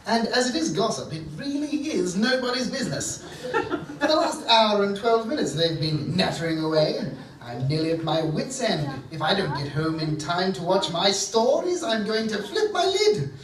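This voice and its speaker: A man's voice: sits high, big pitch swings, normal speed. A man playing a pompous, fussy, easily exasperated evil professor in a live stage comedy. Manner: pompous, fussy, theatrical ranting. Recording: echoey theatre, distant mic, audience noise.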